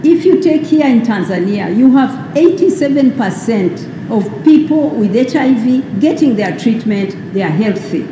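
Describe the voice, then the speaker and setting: A woman from Uganda giving a formal public speech, slow and emphatic, posing rhetorical questions. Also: on the high side, lively intonation, reverberant, in a large hall, noisy background. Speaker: speaker at a World AIDS Day event. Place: large hall at a public ceremony.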